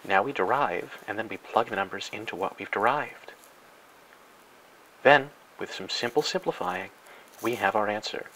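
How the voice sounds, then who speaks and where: A man narrating a parody educational film in a mock-serious, grandiose and deadpan way. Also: on the low side, moderate pace, poor audio. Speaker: narrator and host.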